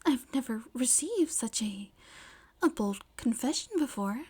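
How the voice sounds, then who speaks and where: A woman acts out a teenage schoolgirl for an audio story. The voice is high and soft, often breathy, and the delivery is polite, halting and easily flustered. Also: expressive.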